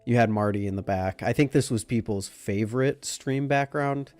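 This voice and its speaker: Young man, nasal cold voice, casual stream chat, middle pitch, faint music behind. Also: normal speed, no echo. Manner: relaxed, greeting chat by name. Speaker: livestream host. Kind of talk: casual chat with live viewers.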